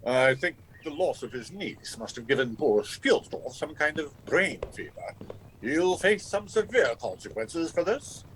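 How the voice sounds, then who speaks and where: Guy acting pompous dismissive lord-of-the-castle dad in a radio play, pitch all over the place, bit of noise. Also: big pitch swings, a little slow, fairly bright tone, low-quality recording. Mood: indignant. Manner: pompous, formal period speech. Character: blustering, dismissive father, master of a castle estate.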